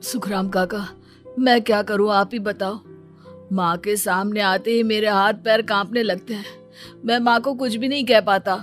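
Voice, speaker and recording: Naive cartoon son, voiced by a woman: meek, obedient, hesitant, mid-pitched, faint music behind. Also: steady tone, moderate pace, lightly acted. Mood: helpless. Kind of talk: dramatized cartoon story dialogue.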